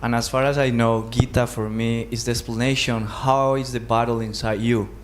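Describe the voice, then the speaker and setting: A man's voice: mid-range voice, level tone, moderate pace, a little hiss or hum. A man asking questions as a newcomer to a class, polite and tentative in a casual way.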